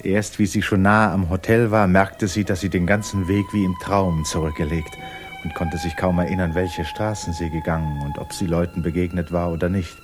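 A man narrating a literary 1950s radio drama in an expressive, dramatic storytelling manner. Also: deep voice, normal speed. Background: violin music.

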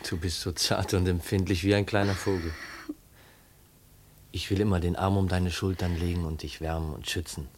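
An actor playing a gentle, protective, devoted young man in a 1950s German radio drama, speaking soothingly. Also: little pitch movement, normal speed. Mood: soothing, tender. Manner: soothing, tender. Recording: rumbly, faintly noisy old radio recording.

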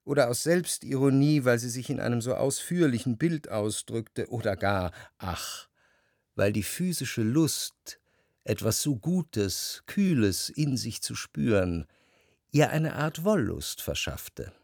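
An actor playing a jealous, introspective lover who narrates a literary radio drama in long, measured sentences. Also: male voice, medium pitch, big pitch swings, creaky, darkish tone, no echo, studio quality, exaggerated voice. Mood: brooding, reflective. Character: brooding, jealous male narrator in love, reflective.

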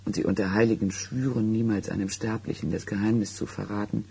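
An actor playing a haunted man in a German radio play, recounting an eerie childhood secret in halting, dramatic phrases, with a husky, gravelly voice. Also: on the low side, fairly even intonation, dark tone, heavily acted. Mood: intense, confiding. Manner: halting, dramatic storytelling with weighted pauses.

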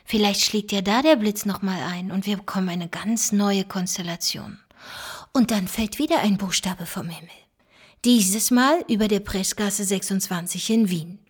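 An actress playing an inquisitive, bookish investigator, musing in a slightly conspiratorial way in a radio play. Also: female voice, mid-range voice, breathy edge.

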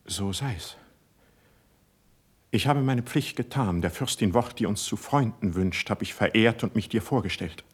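An actor playing an idealistic, impulsive young poet in a classical German verse radio play, speaking in a fervent, declamatory way. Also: male voice, lowish voice, unhurried, husky. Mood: earnest, wounded. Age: young adult.

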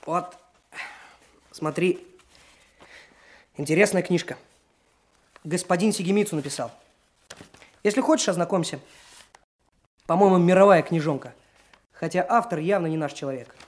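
An actor playing a brash, self-assured young police trainee, chatty and colloquial, in a radio drama. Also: male voice, high-pitched, moderate pace, long pauses, natural voice. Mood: eager, breezy. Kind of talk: Soviet radio drama dialogue.

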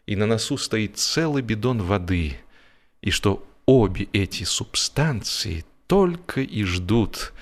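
A man's voice: lowish voice, animated, slow. A man narrating humorous literary prose from a script, as an audiobook narrator.

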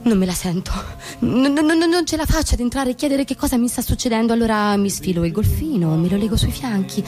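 A woman's voice: on the high side, expressive, fairly quick, darkish tone, close to an everyday voice. An actress playing an anxious, self-conscious young woman, reliving a schoolgirl memory in an urgent, emphatic radio drama monologue. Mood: distressed. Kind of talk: dramatic first-person stage monologue for radio. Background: music.

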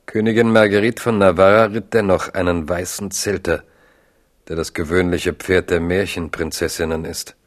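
Erzähler mit sehr tiefer Stimme. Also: male voice, deep voice, steady tone, normal speed. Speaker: narrator. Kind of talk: scripted literary narration.

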